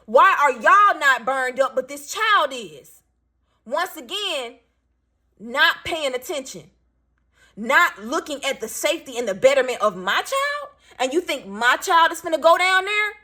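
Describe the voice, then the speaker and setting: A raspy-voiced woman in her thirties, a single parent venting bluntly and with swearing as she tells a story to her viewers. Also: high-pitched, big pitch swings, unhurried, pushed and bright. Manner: blunt, profane, emphatic.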